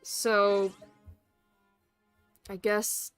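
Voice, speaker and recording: A woman's voice: medium pitch, flat delivery, very slow, smooth, no echo, soft background music. A woman live streaming a video game with casual, blunt, sweary, informal commentary.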